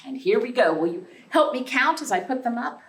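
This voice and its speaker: A woman's voice: a little high, big pitch swings, a little fast, a little hiss or hum. A woman hosting a children's storytime, speaking in a sing-song way and putting questions to young listeners, recorded in an echoey room at a distance from the microphone. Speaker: library storytime host. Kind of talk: children's read-aloud storytime with counting rhymes. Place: echoey indoor room, away from the mic.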